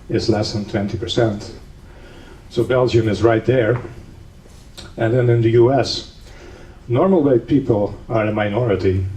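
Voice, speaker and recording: A man's voice: on the low side, mellow. A man from the Netherlands giving an academic conference lecture, explaining steadily with a touch of dry humor. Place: conference hall. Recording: echoey hall, distant mic, faint noise.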